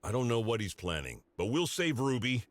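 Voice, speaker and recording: A man's voice: medium pitch, big pitch swings, moderate pace, no room sound, natural voice. An actor playing a grave, devoted father and former werewolf commander in a video game, with an earnest, dramatic delivery. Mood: grave, regretful. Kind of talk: scripted video game character dialogue.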